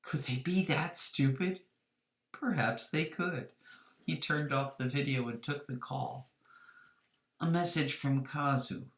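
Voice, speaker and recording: A woman's voice: a bass voice, slow pace. Woman reading fiction in an echoey room. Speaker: author reading her own short story. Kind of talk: scripted story narration.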